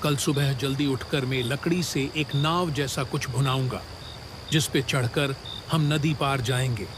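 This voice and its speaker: A man's voice: mid-range voice, a little slow, background noise, natural voice. A man voicing a clever, brave young village man in a cartoon, talking eagerly and politely. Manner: eager and polite, lively delivery. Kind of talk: cartoon voice acting.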